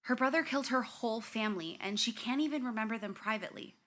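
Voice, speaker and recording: A woman's voice: fairly high pitch, quick pace, a bit bright, lightly acted. A young woman playing a candid, wry daughter haunted by family tragedy, narrating a radio drama. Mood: reflective, sombre. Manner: reflective, wry. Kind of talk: first-person scripted narration.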